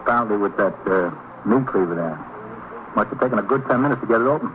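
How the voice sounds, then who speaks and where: An actor with an American accent playing a dutiful, plain-spoken police sergeant in an old-time radio drama, giving terse, factual and deferential reports. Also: male voice, on the low side, animated, fast talker, close to an everyday voice. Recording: tinny, noisy old radio recording.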